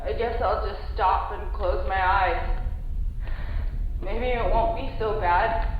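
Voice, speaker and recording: A woman's voice: medium pitch, steady tone, slow, lightly acted. An actress playing a panicky, pleading female test subject trapped in a deadly place, in an audio drama. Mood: despairing. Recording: very echoey, muffled, some background noise.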